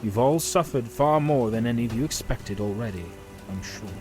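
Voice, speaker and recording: Guy doing earnest tired half-elf leader, serious, middle pitch, fantasy story w music. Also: lively intonation, normal speed, natural voice. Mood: solemn, resolute. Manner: grave, serious.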